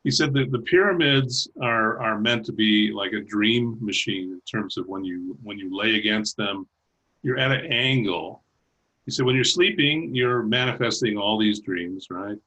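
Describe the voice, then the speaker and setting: Husky-voiced man chatting haltingly. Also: on the low side, pushed and bright, lo-fi sound. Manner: halting, with many restarts and light laughs. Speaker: guest. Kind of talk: casual chat. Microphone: video call.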